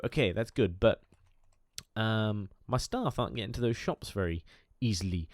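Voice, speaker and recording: A man's voice: fairly low pitch, a little mellow, no room sound. Nasal, stuffy-voiced man. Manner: chatty, enthusiastic, rambling with fillers. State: nasal from a cold. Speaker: gaming YouTuber. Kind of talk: casual game commentary.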